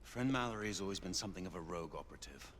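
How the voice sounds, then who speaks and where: An actor playing a guarded, dutiful knight commander, formal and restrained in a game cutscene. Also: male voice, low voice, lively intonation, racing, a bit bright. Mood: cautioning. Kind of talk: dramatic video game cutscene acting. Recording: echoing hall, faint background noise.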